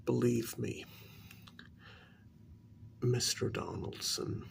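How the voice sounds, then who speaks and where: A man playing a patient middle-aged couples therapist in a soft ASMR role-play, speaking low, often near a whisper, in a measured, halting, placating way. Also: steady tone, gravelly, slight background noise, heavily acted. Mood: gentle, patient.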